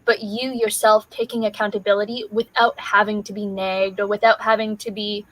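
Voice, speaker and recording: A woman co-hosting a casual online chat, speaking in a measured, reflective way on a video call. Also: medium pitch, little pitch movement, a bit bright, no room sound, low-quality recording. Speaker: co-host.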